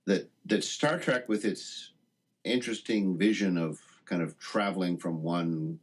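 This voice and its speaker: A man being interviewed as a guest, speaking reflectively and haltingly, often rephrasing as he searches for words. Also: low voice, slightly rough. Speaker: interview guest, writer of a satire piece.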